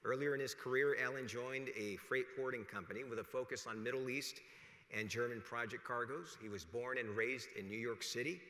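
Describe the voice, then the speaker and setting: An American man hosting a conference, reading a formal, measured introduction of a speaker to the room. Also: mid-range voice, sharp tone. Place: large conference hall, podium. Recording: echoey hall through PA.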